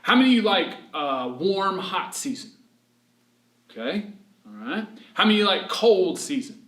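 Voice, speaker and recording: A man reading an alphabet story to young children as a teacher, animated and encouraging, asking questions and drawing words out for emphasis. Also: high voice, moderate pace. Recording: roomy, echoing room sound.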